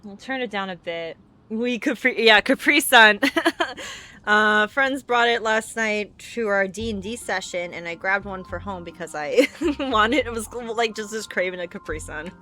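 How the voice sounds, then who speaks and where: Chatty, bright-voiced woman game streamer. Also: medium pitch, a little slow. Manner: chatty, rambling, giggly. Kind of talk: casual livestream chat. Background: video game music.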